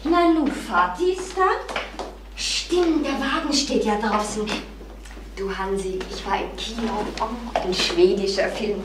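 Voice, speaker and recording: An actress playing a breezy, chatty young daughter in a radio crime play. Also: female voice, medium pitch, a little slow, close to an everyday voice. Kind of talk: German radio crime drama acting. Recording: distant, echoey, noisy room sound.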